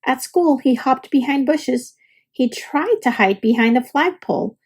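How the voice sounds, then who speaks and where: A woman reading a children's picture book aloud as a storyteller, with deliberate, expressive narration for young listeners. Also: sits high, breathy edge.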